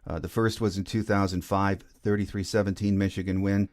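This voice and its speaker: A man with a fairly low voice hosts a sports interview. He recaps and asks questions in a conversational podcast style. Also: little pitch movement, brisk, darkish tone.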